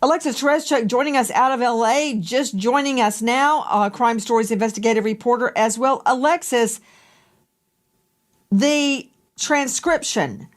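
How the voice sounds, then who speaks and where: A fairly high female voice hosts broadcast crime talk. She speaks emphatically to a guest, repeating words as she goes. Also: moderate pace. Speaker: crime show host.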